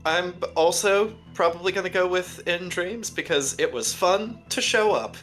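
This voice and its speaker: A woman's voice: fairly low pitch, moderate pace, a bit bright, soft background music. A woman chatting casually in a group Q&A as a podcast cast member, wry and blunt, swearing as she goes.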